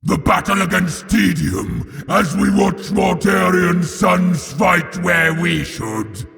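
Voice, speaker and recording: Audio drama villain: colossal demon warlord, slow gravelly snarl, long reverberant hall, bloodthirsty and grandiose. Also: male voice, high-pitched, a bit bright, full character voice. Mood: bitter, angry. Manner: bellowing, drawn-out grandiose declarations. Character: huge horned winged demon primarch, wrathful bloodthirsty father. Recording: long hall reverb, faint music behind.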